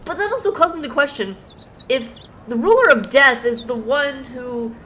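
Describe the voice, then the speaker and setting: A woman's voice: high voice, expressive, normal speed, a bit bright, slight background noise. A young woman giving casual, chatty game playthrough commentary, slangy and sweary. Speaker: gaming streamer.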